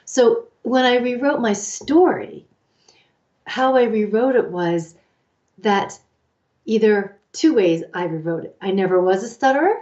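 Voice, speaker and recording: Lively woman co-host, slightly lo-fi. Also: a little high, a little slow. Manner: animated, acts out quoted inner voices. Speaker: co-host, life coach. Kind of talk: casual advice chat.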